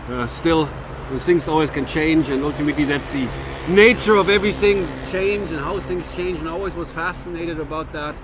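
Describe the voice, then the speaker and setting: Man in his 50s, German-American accent, slightly high voice, earnest, street traffic behind. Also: moderate pace. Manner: earnest, philosophical, with frequent restarts. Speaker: vlogger. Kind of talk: reflective monologue to camera.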